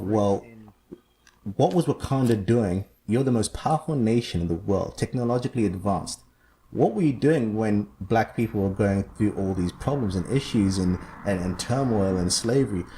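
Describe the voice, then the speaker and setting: A man's voice: fairly low pitch, a little mellow, a little hiss or hum, poor audio. A man from Zambia hosting a casual podcast discussion, explaining at length and animatedly. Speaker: podcast host.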